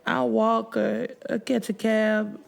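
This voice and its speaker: A woman's voice: a little high, little pitch movement, vocal fry, exaggerated voice. A woman voicing a young pregnant widow in an audiobook, heartbroken, by turns faltering and bitterly lashing out. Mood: numb, faltering. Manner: halting when numb, screaming when enraged.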